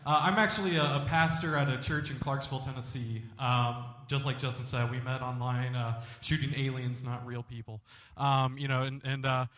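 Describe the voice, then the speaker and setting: A man's voice: mid-range voice, fairly even intonation, normal speed, a bit bright, no echo. Self-deprecating man with a Michigan accent, fairly dry sound. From Michigan, USA. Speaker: pastor, guest speaker. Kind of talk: casual personal testimony to a church audience.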